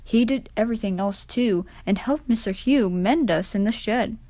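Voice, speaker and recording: A woman's voice: fairly high pitch, warm tone, no room sound, close to an everyday voice. Woman as a wise, earnest old steam engine. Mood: earnest reminiscing. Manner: measured, earnest storytelling.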